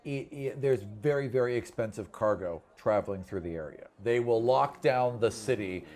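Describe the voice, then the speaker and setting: A man with a mid-pitched voice runs a tabletop fantasy game as game master. He improvises his descriptions in a conversational way, halting and rephrasing, and slips between narration and casual chat. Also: a little mellow, a little hiss or hum.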